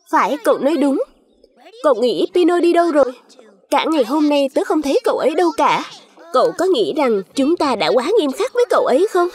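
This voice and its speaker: A woman voicing a small childlike fruit creature, earnest and outspoken, in a children's cartoon dub. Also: high-pitched.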